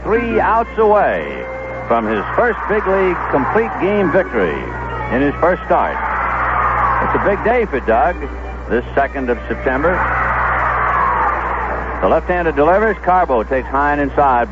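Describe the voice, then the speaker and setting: A man doing 1970s radio baseball play-by-play, measured and descriptive, telling small stories between pitches. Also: very animated, very noisy. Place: stadium broadcast booth. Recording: dull lo-fi vintage AM radio broadcast.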